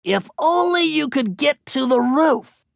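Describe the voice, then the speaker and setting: A man's voice: very high voice, expressive, measured pace, creaky, dry recording, studio quality, exaggerated voice. A man voicing a nerdy, eager brainy gadget expert in a video game, with nasal, precise, wordy delivery. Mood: precise, briefing. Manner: precise, wordy, bookish briefing. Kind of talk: animated video game character voice acting.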